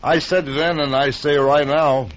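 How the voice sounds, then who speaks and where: An actor playing a tipsy, sentimental man who rambles slowly between drinks, in a 1950s radio drama. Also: on the high side, fairly even intonation, natural voice. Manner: slow, drunken and rambling. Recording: muffled old radio broadcast.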